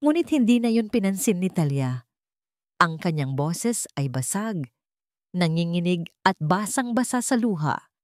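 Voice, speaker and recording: Lady storyteller, lowish voice, solemn emotional drama narration, super dry close sound. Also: sing-song, measured pace, darkish tone, no room sound.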